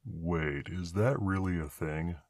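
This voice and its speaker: A man voices a big, bashful monster with a gentle heart. The voice is very low and recorded dry and close. He talks hesitantly and kindly, as in an ASMR roleplay. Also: slow pace, no room sound, heavily acted.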